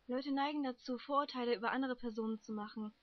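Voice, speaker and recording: A young woman in an amateur anime fandub voicing a gentle, secretive long-haired youth with composed delivery. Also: a little high, steady tone, a little fast, dry recording, lightly acted. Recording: muffled, dry home microphone.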